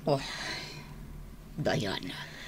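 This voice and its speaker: An actress with an Ilocano accent playing a forgiving middle-aged mother in a radio drama, earnest and dramatic. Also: very slow. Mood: pleading.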